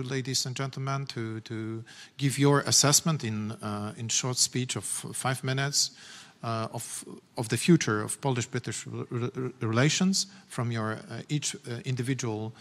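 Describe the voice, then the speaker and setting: A man's voice: mid-range voice, steady tone, moderate pace. Hesitant male moderator in a reverberant hall. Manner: hesitant, many "uh" fillers. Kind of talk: formal panel introductions.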